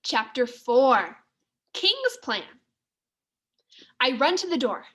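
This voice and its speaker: A woman's voice: high voice, big pitch swings, takes it slowly, fairly bright tone. A woman teacher reading a children's story aloud, expressively and with careful enunciation.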